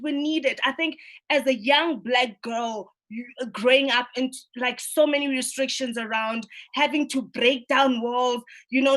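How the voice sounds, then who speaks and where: Earnest high-voiced woman in her thirties. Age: 30s. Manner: earnest, animated, many tag questions. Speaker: roundtable host. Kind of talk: virtual roundtable discussion. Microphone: video call.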